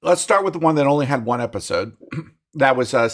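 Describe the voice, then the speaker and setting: Give me a middle-aged man chatting on a podcast, very expressive in pitch and quick to laugh. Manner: animated, laughs often, talks through restarts. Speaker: podcast co-host. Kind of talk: casual chat.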